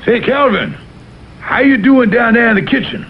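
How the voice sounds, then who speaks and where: A man's voice: extremely high pitch, moderate pace, background noise. A man playing a blustering, wheedling fox con artist with a Southern US accent in an old TV cartoon. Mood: impatient. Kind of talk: 1960s TV cartoon voice acting. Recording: dull vintage TV audio with music.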